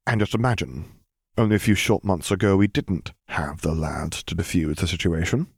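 A man's voice: low voice, moderate pace, vocal fry, breathy edge, no room sound, heavily acted. A man voicing a wry middle-aged general in an audiobook, dry and sardonic, always ready to snipe. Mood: dry, sniping.

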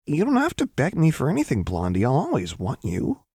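Casting: narrator as wounded, devoted young woman, childlike and halting, raised pitch, audiobook drama. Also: huge pitch swings, darkish tone, breathy edge, no echo, clean studio sound, exaggerated voice. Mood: simple devotion. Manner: halting, stammering, simple and pleading.

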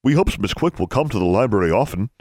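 A man voicing a small, eager, pleading male elf with a croaky voice and childlike grammar, in a storybook narration. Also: lowish voice, animated, fast, darkish tone, dry recording, clean studio sound. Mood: hopeful. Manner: childlike broken grammar, wailing when upset. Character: small male library elf, eager, pleading, book-loving, easily anguished.

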